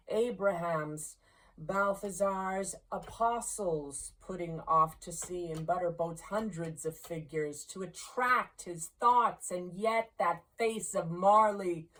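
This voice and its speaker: A woman narrating a classic storybook in a deliberate, expressive way, pausing between short phrases. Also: medium pitch, low-quality recording. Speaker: amateur audiobook reader.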